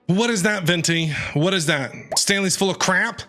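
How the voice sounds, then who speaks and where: A man commentating over a video game as he plays, excitable and sarcastic. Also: sits high, normal speed. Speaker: gaming streamer. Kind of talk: let's-play commentary. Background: game music.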